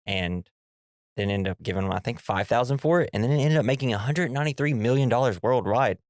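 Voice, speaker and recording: A man's voice: mid-range voice, fast, a little mellow, dry recording, clean studio sound. A young man co-hosting a casual movie podcast, sounding nasal from a cold, rambling and laughing as he acts out the scares. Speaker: podcast co-host.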